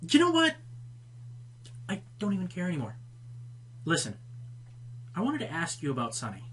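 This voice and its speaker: Man playing a lively, wry pony radio host. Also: sits high, normal speed, slightly rough, full character voice. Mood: exasperated. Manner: wry, dry teasing. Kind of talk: radio play acting as presenter.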